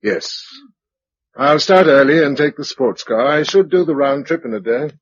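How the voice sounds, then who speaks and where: A man's voice: fairly high pitch, moderate pace, lightly acted. An actor playing a practical, weary male leader of a survivor household in a radio drama, matter-of-fact and decisive. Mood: resolute. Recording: dull lo-fi radio recording.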